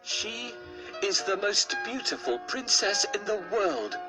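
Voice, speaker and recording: A man's voice: sits high, very animated, slow, over music, pitched above the performer's own voice, full character voice. A man voicing a brave, determined young prince in a storybook reading. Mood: longing.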